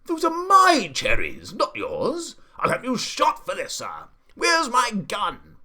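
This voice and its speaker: Bellowing, pompous old duke. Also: male voice, very high-pitched, huge pitch swings, slow, bright, forceful tone, pitched above the performer's own voice, full character voice. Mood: furious. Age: older. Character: blustering, hot-tempered old aristocratic duke with walking stick. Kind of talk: storybook character voice.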